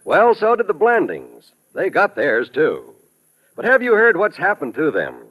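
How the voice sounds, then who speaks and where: Animated American male radio announcer. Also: on the high side, a little slow, breathy edge, low-quality recording. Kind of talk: old-time radio promotional announcement. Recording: vintage radio broadcast recording.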